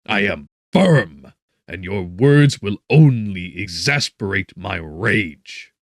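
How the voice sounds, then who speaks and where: A man playing a defiant, anguished scientist in a gothic audiobook, declaiming with forceful indignation. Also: on the low side, expressive, takes it slowly, no echo, studio quality, voice pushed up. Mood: resolute rage. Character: defiant, anguished male scientist confronting his creation. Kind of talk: dramatic gothic audiobook acting.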